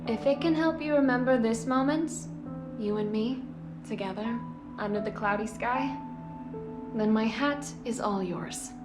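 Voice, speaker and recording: A woman's voice: on the high side, unhurried, over music, natural voice. Woman as a tender, wistful sweetheart, echoey. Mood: playful, loving. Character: tender, wistful young woman in love, gently playful. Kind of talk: English video game dub acting.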